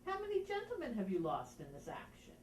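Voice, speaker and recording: Casting: woman playing older head of a household, lively swooping intonation, classical stage lines, recorded distant in a roomy space. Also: fairly high pitch, fast talker. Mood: measured, teasing. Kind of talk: Shakespearean stage dialogue, scene reading. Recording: roomy, distant, faint noise.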